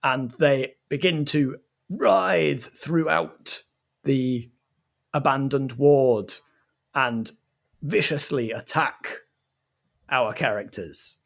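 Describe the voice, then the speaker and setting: A man narrating a tabletop game as game master, with theatrical, deliberate phrasing. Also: mid-range voice, creaky. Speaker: game master, playing a director.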